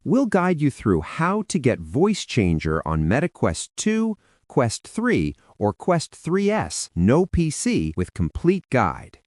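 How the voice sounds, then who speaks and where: A man's voice: mid-range voice, wildly swooping pitch, takes it slowly, hardly any pauses, darkish tone, no echo, studio quality. A man narrating a scripted how-to guide in an instructional, step-by-step way with informal asides. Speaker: tutorial narrator.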